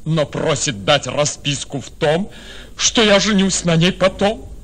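A man's voice: fairly high pitch, lively intonation, a little slow, a bit bright. An actor playing an ardent young nobleman in love in a radio play. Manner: ardent, exultant.

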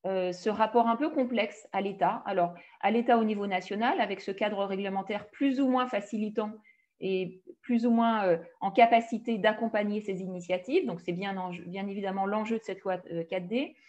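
A woman's voice: mid-range voice, normal speed, darkish tone. A woman presenting at a web conference, speaking in long, winding sentences with hesitations. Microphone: video call. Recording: dull band-limited video call.

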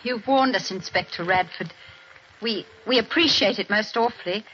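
An actress playing a spirited young British wife in a 1940s radio drama, with an expressive, crisp delivery. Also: female voice, medium pitch, normal speed, natural voice. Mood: earnest. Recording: dull old radio broadcast with faint hiss.

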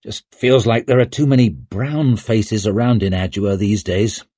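A man voicing a blunt, gruff young male lord and war hero in his twenties. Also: fairly low pitch, a little slow, mellow, no echo.

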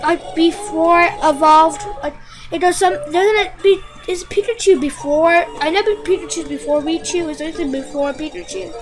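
A woman's voice: extremely high pitch, fairly even intonation, moderate pace. A woman co-hosting a game playthrough, joking and rambling in a casual way, with game music behind her. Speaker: co-host. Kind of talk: casual gameplay chat.

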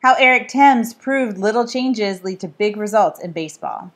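A woman's voice: mid-range voice, expressive, moderate pace, a bit bright. A woman who is an author, reading her own book aloud in a scripted style. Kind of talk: scripted read-aloud narration.

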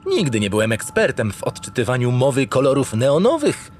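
An actor playing a pompous, naive spaceship captain, narrating a comic sci-fi radio play in a theatrical, grandiloquent, digressive way. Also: male voice, medium pitch, huge pitch swings, a little fast, slight background noise. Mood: earnest, wondering. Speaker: lead actor in an audio drama.

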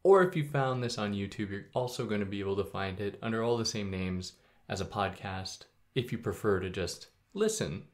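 A young adult man hosting a podcast, explaining philosophy in a relaxed, conversational way with a soothing voice and sudden upward jumps in pitch. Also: moderate pace. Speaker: podcast host. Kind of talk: casual explainer monologue.

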